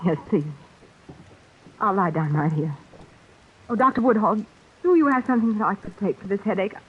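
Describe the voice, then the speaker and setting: Casting: plain-spoken, shrewd suspense heroine; husky, wry voice, old radio thriller, dull vintage recording. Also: female voice, medium pitch, lively intonation, normal speed. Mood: shaky, weary. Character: tough, wry, shrewd young heroine under threat.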